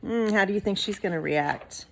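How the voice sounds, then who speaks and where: A woman's voice: mid-range voice, normal speed, gravelly, a bit bright, poor audio. A woman reading a storybook aloud expressively to young listeners, with casual asides like a teacher.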